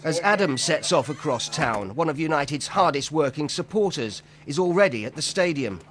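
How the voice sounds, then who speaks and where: A man narrating a documentary in a measured, even scripted delivery. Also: on the high side, a little hiss or hum.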